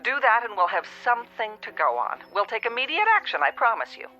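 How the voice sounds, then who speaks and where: A woman's voice: on the high side, sing-song, fast talker, pushed and bright, faint music behind. A woman playing a patient but unhelpful telephone operator in a radio drama, businesslike and placating, heard through a phone filter. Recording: thin, band-limited telephone-line sound.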